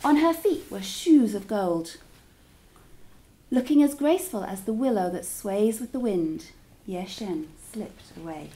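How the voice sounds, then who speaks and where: Woman, storybook narrator for children. Mid-range pitch, deliberate and dramatic, stretches key words. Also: animated, dark tone, poor audio. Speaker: teacher reading a story aloud to children.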